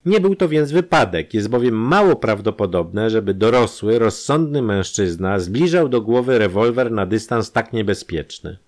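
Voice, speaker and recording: A man's voice: on the low side, lively intonation, normal speed, hardly any pauses, a little mellow, voice pushed up, close to an everyday voice. A man voicing a brusque, impatient, experienced male doctor in a crime audio drama. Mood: explaining. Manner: curt and insistent.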